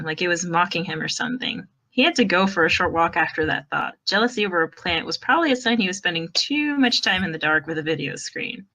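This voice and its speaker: A woman's voice: fairly low pitch, pushed and bright, poor audio. A woman narrating fan fiction as a storyteller, in a conversational, wry way.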